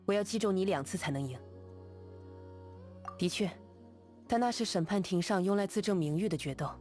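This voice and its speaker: An actress voicing a calm, composed young woman duelist in a game, speaking in a steady, measured, quietly confident way. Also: mid-range voice, soft background music, lightly acted. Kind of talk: game character voice lines.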